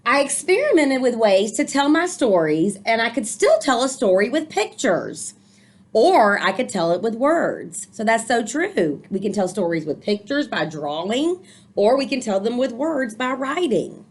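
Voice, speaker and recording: Animated, higher-voiced woman reading a storybook. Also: unhurried. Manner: expressive, encouraging, explanatory asides to young listeners. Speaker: teacher reading a picture book aloud. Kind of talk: storybook read-aloud with commentary for children.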